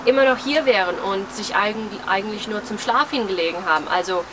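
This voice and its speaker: A woman's voice: mid-range voice, brisk, over music, poor audio. A twenty-year-old woman chatting casually and rambling to a camera, hesitant and searching for words, with a self-deprecating manner. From Germany, living in New York. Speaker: vlogger. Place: outdoors on a porch among trees. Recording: outdoor recording with clear ambient and traffic noise. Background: outdoor ambience, passing cars.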